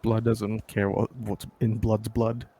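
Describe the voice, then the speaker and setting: A man's voice: lowish voice, level tone, slow, dark tone, a little hiss or hum. A man bantering casually with a streamer friend, teasing and contrarian.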